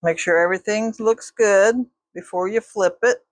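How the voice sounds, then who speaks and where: A woman's voice: medium pitch, normal speed, dry recording. An older woman teaching a quilting tutorial, chatting with her viewers while she sews. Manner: folksy, talks to viewers while sewing.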